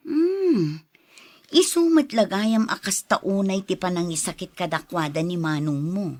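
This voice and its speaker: A woman's voice: on the low side, animated, unhurried, darkish tone. A woman playing an attentive, curious wife in an Ilocano radio drama. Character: attentive wife serving coffee, curious about family affairs.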